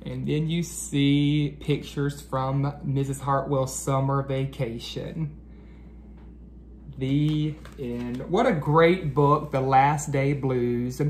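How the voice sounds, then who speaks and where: A man hosting a children's read-aloud, speaking expressively to young listeners with drawn-out storybook phrasing, as an educator. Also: mid-range voice, fairly even intonation. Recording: noticeable background noise.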